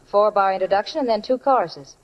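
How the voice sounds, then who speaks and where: An actress playing a snappy, deadpan young singer in 1940s radio style. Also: female voice, mid-range voice, fairly quick, natural voice. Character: tough, sharp-tongued young singing partner. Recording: muffled 1940s radio recording with music.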